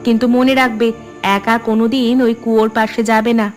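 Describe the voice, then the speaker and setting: A woman's voice: a little high, flat delivery, fairly quick, soft background music, close to an everyday voice. A woman as a cautioning cartoon mother. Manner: admonishing, explanatory. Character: cautioning mother telling her little son a tale. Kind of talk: cartoon dialogue.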